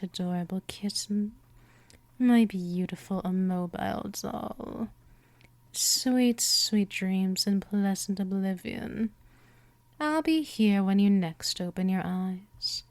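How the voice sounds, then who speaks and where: A woman voicing a gentle but possessive vampire mistress in a hypnosis ASMR roleplay, coaxing softly and often slipping toward a whisper. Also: mid-range voice, dry recording. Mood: soothing, lulling. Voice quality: soft, breathy whispering in places. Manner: slow, coaxing, drawn-out delivery.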